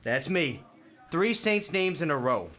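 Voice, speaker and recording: Cocky young New Yorker, swoopy pitch. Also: male voice, big pitch swings, measured pace, bright, forceful tone, full character voice. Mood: boastful, cheeky. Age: young adult. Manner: slangy, cocky, informal. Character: brash, streetwise, cheeky young male hospital volunteer. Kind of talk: radio play acting.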